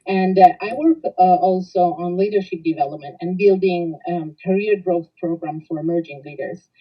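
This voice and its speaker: A woman's voice: on the low side, moderate pace, husky, highs cut off. A woman from Italy with an Italian accent, a coach introducing herself on a podcast and hesitating with many fillers.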